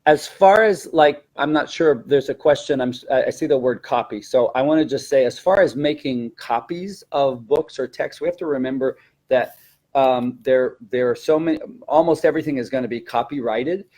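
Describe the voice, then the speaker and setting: A man's voice: medium pitch, moderate pace, darkish tone. Man instructing teachers in mid-range voice. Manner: explanatory, instructive. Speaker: teacher and trainer presenting a webinar. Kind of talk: informal webinar discussion of teaching techniques.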